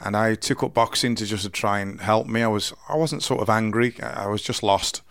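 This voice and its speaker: A man in his forties with a deep voice, telling his life story slowly and earnestly in an interview, often restarting his sentences. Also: fairly even intonation, creaky, fairly bright tone, dry recording, clean studio sound. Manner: slow, halting, earnest storytelling with many restarts. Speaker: interview guest. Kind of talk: candid personal interview.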